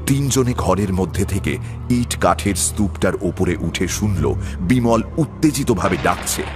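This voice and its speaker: A man's voice: deep voice, animated, unhurried. A man reading a scripted story in a dramatic narrating style. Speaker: narrator of an audio horror and adventure story. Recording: loud music bed under the voice.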